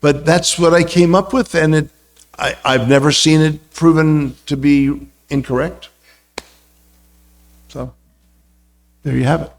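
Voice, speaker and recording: High-voiced, gravelly American man. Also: fairly even intonation, unhurried, pauses often. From United States. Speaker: guest speaker, religious teacher and university lecturer. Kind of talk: informal spiritual talk with anecdotes.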